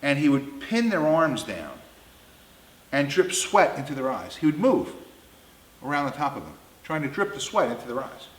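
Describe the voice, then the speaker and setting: A man's voice: medium pitch, sing-song, normal speed. A man in his fifties telling comic anecdotes in a blunt, crude, emphatic way. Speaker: storyteller. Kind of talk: comedic anecdote storytelling. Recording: roomy, echoing indoor sound.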